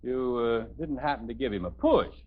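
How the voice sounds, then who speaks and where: A man's voice: mid-range voice, moderate pace, natural voice. An American actor playing an exasperated, sarcastic suburban father in a 1950s radio comedy. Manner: sarcastic, grumbling. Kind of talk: 1950s radio sitcom acting. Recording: muffled 1950s radio recording.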